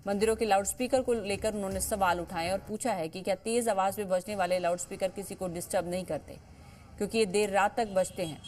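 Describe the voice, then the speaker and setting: A female news anchor reports in a live television style at a middle pitch. She restates each point with emphasis, and faint music plays behind her voice. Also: fairly even intonation, fairly quick, a little mellow.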